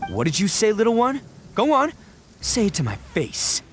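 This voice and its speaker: A man's voice: sits high, wildly swooping pitch, normal speed, noisy background. A man playing a brash, big-hearted young oni gang boss in a video game, blustering and theatrical. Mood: menacing.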